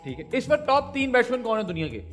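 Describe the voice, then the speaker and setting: Brief: male talk show host, Pakistani Urdu accent, high bouncy voice, playful coaxing quizmaster. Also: big pitch swings, rapid-fire, fairly bright tone, faint music behind. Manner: teasing, laughing, coaxing. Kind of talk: playful rapid-fire quiz questions.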